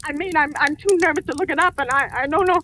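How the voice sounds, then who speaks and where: Imperious, hysterical invalid wife for vintage radio thriller: extremely high voice, querulous pleading, clearly noisy old recording. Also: female voice, fast talker, sharp tone. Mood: anxious, pleading. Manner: querulous, haughty, gasping and pleading. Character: imperious, hysterical, bedridden wife alone at night. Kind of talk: 1940s radio suspense acting.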